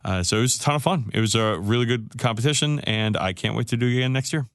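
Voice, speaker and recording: A man's voice: medium pitch, fast talker, no echo, clean studio sound. A man co-hosting a podcast, casually recounting his games in a rambling way, full of restarts and fillers. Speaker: podcast co-host. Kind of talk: casual chat.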